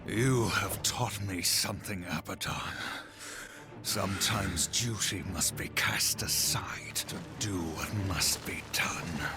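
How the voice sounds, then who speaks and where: Game antihero: stoic, menacing warrior horseman, very low and slow, music loud underneath. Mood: grim resolve. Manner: solemn, terse, menacing. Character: grim male apocalyptic horseman warrior, stoic and vengeful. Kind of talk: video game cutscene acting.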